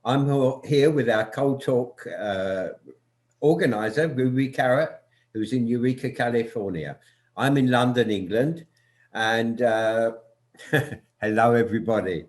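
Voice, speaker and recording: A man's voice: mid-range voice, unhurried, a little mellow. A man co-hosting a talk show, opening the episode and introducing the guest over a video call. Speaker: co-host.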